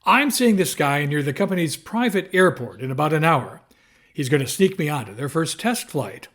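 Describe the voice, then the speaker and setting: An actor playing a breezy, reassuring newspaper reporter in an audio drama, joking and unruffled. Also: male voice, fairly high pitch, animated, moderate pace, fairly bright tone.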